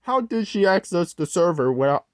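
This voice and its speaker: A storyteller narrating a creepy tale in a halting way, often stumbling and rewording. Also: mid-range voice, animated, dry recording, studio quality. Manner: halting, corrects own wording mid-sentence. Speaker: host, storyteller.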